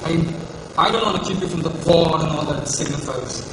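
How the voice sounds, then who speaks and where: A man's voice: on the high side, brisk, close to an everyday voice. Man acting a dry nerdy teaching assistant, very echoey. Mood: reproving, sarcastic. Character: mild, nerdy young male teaching assistant, principled, dry. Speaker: amateur stage actor. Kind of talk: amateur stage play acting. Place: large indoor hall, stage play. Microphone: far-off recorder. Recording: echoey hall, far off, noisy.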